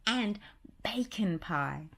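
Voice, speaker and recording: A woman's voice: medium pitch, expressive, drawn-out words, creaky, a bit bright, slight background noise, pitched above the performer's own voice, heavily acted. A woman voicing a cheerful, enthusiastic male cartoon dog in a children's storybook. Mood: eager. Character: cheerful, eager male cartoon dog running a pie shop.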